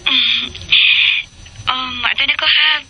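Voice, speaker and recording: A woman's voice: high voice, fairly even intonation, slow, background noise. A woman playing a polite, troubled young wife and mother in a Filipino radio soap. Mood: evasive. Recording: music bed under radio drama.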